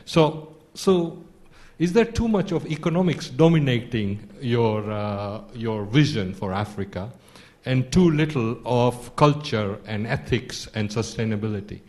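A man's voice: mid-range voice, measured pace, darkish tone. A man from Kenya in the audience, earnestly asking a pointed question.

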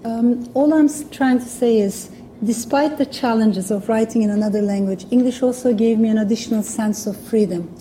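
A woman's voice: a little high, normal speed, warm tone, slight background noise, poor audio. A woman from Turkey with a Turkish accent, speaking English as a second language in a thoughtful, earnest public talk. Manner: thoughtful, earnest, with small restarts. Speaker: guest speaker.

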